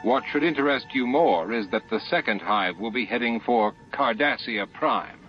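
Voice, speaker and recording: A man voicing a steady, dutiful starship captain in an audiobook, frank and measured. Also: medium pitch, close to an everyday voice. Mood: dry, firm. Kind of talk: audiobook character dialogue. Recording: muffled lo-fi audiobook with music.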